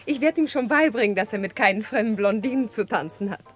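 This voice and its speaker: A woman's voice: medium pitch, fast, faint music behind, close to an everyday voice. A woman playing a young bride, polite but firm and spirited, in a radio crime drama. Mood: worried, indignant.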